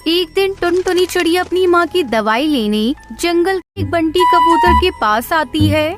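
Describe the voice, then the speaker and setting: A woman with a very high voice narrates a children's cartoon tale. Her delivery is scripted storytelling, and loud music runs behind her. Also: animated, measured pace.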